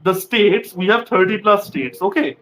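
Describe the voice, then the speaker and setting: A man's voice: high voice, expressive, normal speed, fairly bright tone, slight background noise. A man from West Bengal, India, hosting a casual interview and explaining things at length, often saying "like" as a filler. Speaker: interviewer, host.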